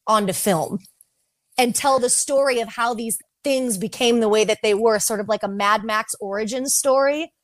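Brief: talkative woman guest, slightly high voice, close dry mic. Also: moderate pace, no echo. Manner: chatty, heavy use of "like". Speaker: podcast guest. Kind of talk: casual chat.

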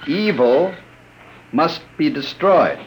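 An actor in an old radio drama playing a smooth, domineering criminal mastermind, mock-affectionate and coolly menacing. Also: male voice, a little high, lively intonation, takes it slowly. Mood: instructing. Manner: mock-affectionate, commanding, theatrical. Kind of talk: 1950s radio drama acting. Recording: noisy vintage radio recording.

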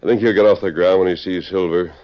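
Male actor as steady masked cowboy hero, deep voice, measured and polite, old-time radio western, dull archive sound. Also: natural voice. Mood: courteous, inquiring. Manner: firm, courteous, measured. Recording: muffled old radio recording.

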